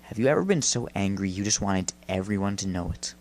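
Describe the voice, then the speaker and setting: A man's voice: sits low, expressive, a little fast, a little mellow, a little hiss or hum. A man voicing an angry young male spirit in a story, defensive, snappish and brooding. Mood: bitter, brooding.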